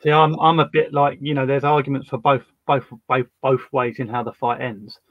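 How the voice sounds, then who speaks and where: A man's voice: medium pitch, flat delivery, normal speed, dry recording, dull audio. A man co-hosting a podcast, chatting in an easygoing, jokey way. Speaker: podcast co-host. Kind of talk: casual chat.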